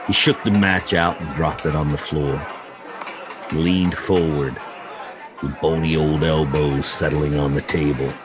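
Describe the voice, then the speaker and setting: Low, slow-speaking male story narrator. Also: steady tone. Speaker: story reader. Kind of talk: dramatic audiobook narration. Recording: music and crowd murmur underneath.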